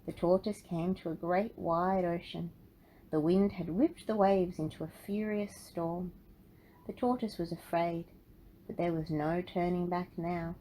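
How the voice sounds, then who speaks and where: A woman narrating a children's storybook in an expressive, deliberate way. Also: lowish voice, a little hiss or hum, rough recording. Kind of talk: storybook read-aloud for children.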